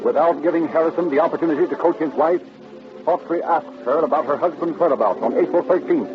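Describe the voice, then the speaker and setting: A man's voice: a little high, fast. A man narrating a crime drama from a script in 1930s radio style. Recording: dull old radio recording with music.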